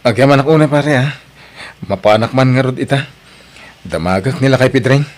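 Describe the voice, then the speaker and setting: A man's voice: mid-range voice, brisk, close to an everyday voice. A man playing a hard-working husband and father in need of a job, in an Ilocano radio drama with an Ilocano Filipino accent. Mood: eager.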